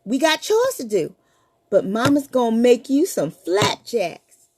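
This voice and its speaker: A woman voicing a bossy mother loudly calling her son out of bed. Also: fairly high pitch, very animated, unhurried, slight background noise. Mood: scolding. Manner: loud, scolding calls.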